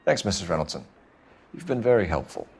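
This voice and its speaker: An actor with an American accent playing a brisk, courteous, probing police detective in a naturalistic video game style. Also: male voice, sits low, big pitch swings, creaky, faint music behind, full character voice. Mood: businesslike.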